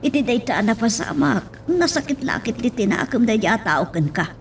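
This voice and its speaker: A woman's voice: a little high, big pitch swings, normal speed, a little hiss or hum. A woman with an Ilocano accent playing an anxious, caring older mother in a radio drama. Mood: anxious, pleading.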